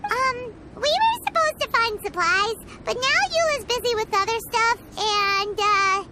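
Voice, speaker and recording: A woman voicing a tiny, excitable, chatty fairy companion in a video game, with a squeaky childlike voice. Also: measured pace, very noisy. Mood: chatty, musing. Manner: refers to self in third person, exclamatory. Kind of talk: English game voice acting. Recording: loud game sound behind voice.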